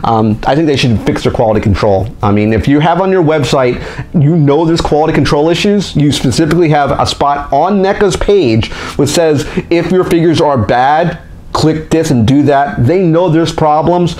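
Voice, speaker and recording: A man with a somewhat high voice hosts his own toy collecting channel from home, speaking into a desk mic. He chats loosely and openly, circling back and restarting lines as he airs complaints and small wins. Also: normal speed, a little mellow. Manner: chatty, candid, frequently restarts and vents frustration. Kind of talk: casual monthly update vlog. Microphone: desk microphone.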